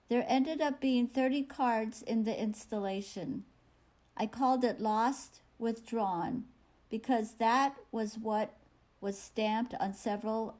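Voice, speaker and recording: Artist, female, voice on the high side, very slow careful delivery of a prepared statement. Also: mellow.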